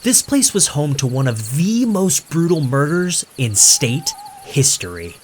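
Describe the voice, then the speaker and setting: A cocky young male ghost hunter, as a male narrator plays him, hyping his livestream in a showy storyteller voice. The delivery swings widely in pitch over faint night insects, in the manner of a horror storybook. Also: very animated, unhurried, a little hiss or hum. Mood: confident, dramatic. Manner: showy, confident, dramatic storyteller.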